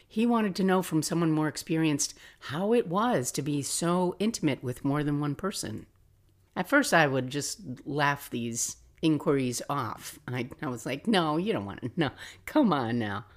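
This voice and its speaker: An older woman hosting a podcast, telling personal stories in a casual, reflective, confiding and expressive way. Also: deep voice. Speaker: podcast host.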